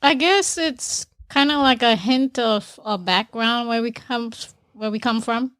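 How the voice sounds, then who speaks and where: A woman's voice: a little high, measured pace, a bit bright, dry recording, studio quality. A woman from Trinidad and Tobago co-hosting a podcast, laid-back and brushing off teasing with short replies. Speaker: podcast co-host. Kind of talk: casual chat.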